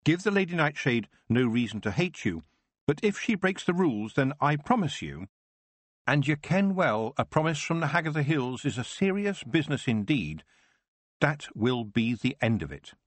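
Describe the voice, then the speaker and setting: A man voicing a young witch who speaks firmly and in a measured, commanding way. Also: mid-range voice, sing-song, no echo, voice pushed up. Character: young witch girl Tiffany, firm and sharp-minded.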